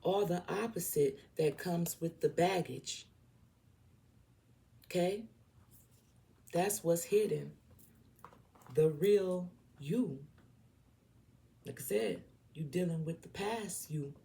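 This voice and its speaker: A woman with a Southern US accent leads an informal tarot reading in a low voice. She takes her time, repeating points with blunt emphasis and addressing the viewer directly. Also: slow, vocal fry, long pauses, warm tone. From southern United States. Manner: emphatic, repetitive, blunt, checks in with "okay?". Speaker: tarot reader.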